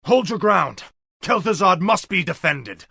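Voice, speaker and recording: Fantasy game death knight: young, curt, hard raspy bark, animated and commanding. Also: male voice, high-pitched, measured pace, no echo, clean studio sound, full character voice. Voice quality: raspy, gravelly, hard-edged. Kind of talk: dramatic fantasy game acting.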